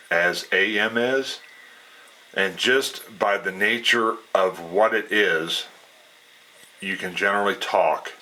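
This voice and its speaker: Man, deep pitch, bright hard-edged tone, informal tutorial delivery, folksy, halting phrasing. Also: rough recording. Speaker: radio hobbyist presenter. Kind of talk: casual unscripted explainer.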